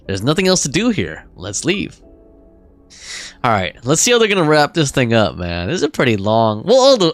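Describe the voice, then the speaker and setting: A game streamer chatting and reacting casually on a livestream, slangy and informal. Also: medium pitch. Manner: slangy, informal, reading chat aloud. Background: game music.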